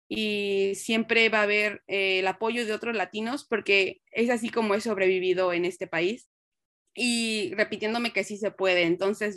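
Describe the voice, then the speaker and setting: A woman's voice: medium pitch, fairly bright tone, dry recording, clean studio sound. A young woman with a Mexican accent answering interview questions in an earnest, reflective, conversational way, now and then mixing in English words. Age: young adult. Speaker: interview guest, nurse and researcher.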